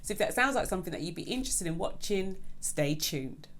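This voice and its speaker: A woman's voice: fairly low pitch, lively intonation, a little fast, heavy background noise. A woman hosting her own budgeting channel, talking casually and chattily to her viewers. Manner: chatty, keeps addressing viewers as "guys". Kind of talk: casual vlog chat.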